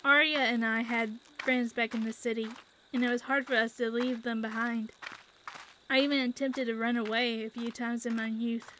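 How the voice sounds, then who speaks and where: A woman's voice: fairly high pitch, level tone, moderate pace, sharp tone, a little hiss or hum, poor audio. A woman playing an earnest young homesteader in a fantasy audio drama. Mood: wistful.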